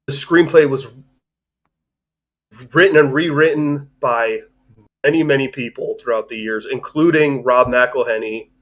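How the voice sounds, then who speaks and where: A man's voice: mid-range voice, unhurried, long pauses. A man hosting a livestream, discussing films in a casual, rambling, informal way. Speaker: host of a livestream discussion show.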